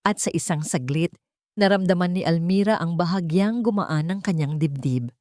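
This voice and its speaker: Low-voiced woman narrating a romantic drama. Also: normal speed, mellow, no room sound, studio quality. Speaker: story narrator.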